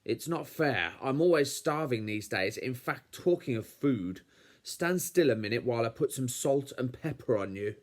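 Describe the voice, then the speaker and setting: A man's voice: mid-range voice, expressive, moderate pace, close to an everyday voice. A man voicing a fierce, hungry uncle wolf villain from a storybook, with a posh British accent, a growling snarl and a pompous, menacing manner. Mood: grumpy, menacing. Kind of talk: storybook character acting.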